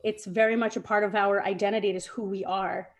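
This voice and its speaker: A woman's voice: medium pitch, little pitch movement, quick pace. A young woman speaking on a video call, earnest and heartfelt, with plenty of fillers as she talks. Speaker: guest, chef's daughter. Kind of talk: casual speech on a video call.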